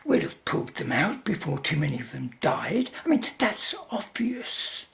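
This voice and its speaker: A low, creaky-voiced British tycoon acted by a woman drawls with haughty greed. The radio drama delivery swoops widely, smug and callous throughout. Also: very animated, normal speed, full character voice. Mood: scornful. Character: callous, smug, greedy lithium mining magnate.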